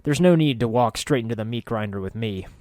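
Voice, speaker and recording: A man voicing a stoic male warrior who speaks flat and sure. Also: mid-range voice, brisk, no echo, studio quality, close to an everyday voice.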